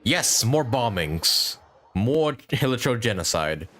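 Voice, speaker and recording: A man's voice: mid-range voice, big pitch swings, slow, a bit bright. A man doing casual live gameplay commentary, thinking aloud informally and repeating short phrases. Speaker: game livestreamer.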